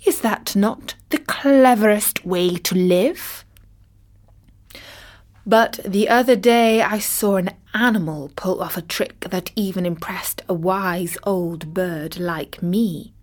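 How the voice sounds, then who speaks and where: A wry old storybook vulture, played by a woman, telling a fable at a slow pace. The delivery is measured and dramatic, with a knowing air. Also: medium pitch, close to an everyday voice. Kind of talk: scripted storytelling in character.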